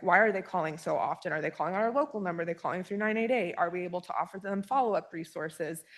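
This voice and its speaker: A woman from a local agency speaking at a county commission meeting. She talks in a fast, chatty way, with many fillers and restarts. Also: on the low side, a bit bright. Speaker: agency staff presenter. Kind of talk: public meeting testimony.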